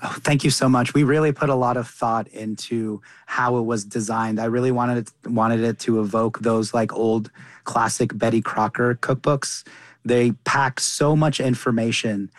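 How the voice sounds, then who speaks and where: Earnest young man with a flat voice. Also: medium pitch, normal speed, no echo. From Qatar. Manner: earnest, with frequent restarts and repeated words. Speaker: chef and cookbook author. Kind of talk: radio interview guest.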